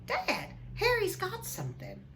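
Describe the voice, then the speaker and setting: A woman voicing a spoiled, whiny young boy who loudly pesters and demands in a storybook reading. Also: huge pitch swings, a little slow, background noise, heavily acted. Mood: tattling, excited. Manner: loud, high-strung, pestering.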